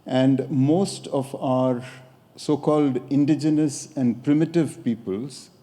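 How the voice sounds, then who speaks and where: Mellow Indian-accented older man, echoey hall. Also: mid-range voice. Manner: measured, pausing with occasional hesitations. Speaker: design academic giving a talk. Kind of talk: conference talk. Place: lecture hall at a podium. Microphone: podium microphone.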